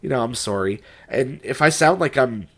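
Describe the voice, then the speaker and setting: Young male vlogger, congested from a cold, mid-pitched, hesitant and rambling with lots of restarts. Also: animated, normal speed, husky. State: stuffed up, recovering from a cold. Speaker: music writer and YouTuber. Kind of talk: unscripted vlog monologue.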